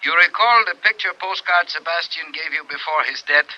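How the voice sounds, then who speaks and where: An actor playing a cautious police inspector with a voice in an old radio drama. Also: male voice, fairly high pitch, a little fast, pushed and bright, dull audio. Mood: formal, reserved. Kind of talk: 1950s radio drama acting.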